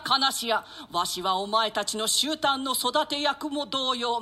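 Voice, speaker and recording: An elderly dowager played by a man with a high, piercingly bright voice. She declaims in archaic language as a proud, grieving mother in a classical tragedy. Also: heavily acted. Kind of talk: theatrical classical Japanese play reading.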